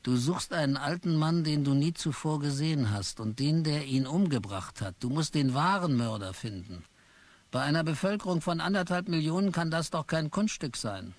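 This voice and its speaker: A man's voice: fairly high pitch, animated, normal speed. A man narrating in first person as a weary office clerk in a German radio play. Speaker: narrator and lead.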